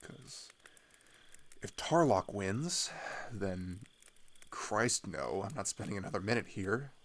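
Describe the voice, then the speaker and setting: A young man playing a weary teenage boy fleeing his homeland, speaking haltingly in an intimate, naturalistic audio roleplay. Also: fairly low pitch, lively intonation, fairly bright tone, full character voice. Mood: uncertain, anxious. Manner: hesitant, halting, sighing, trailing off.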